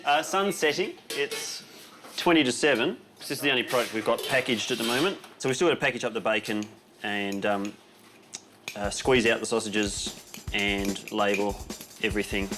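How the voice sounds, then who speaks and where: A man's voice: on the low side, normal speed, background noise. A man presenting on camera, chatting enthusiastically and explaining food with casual fillers.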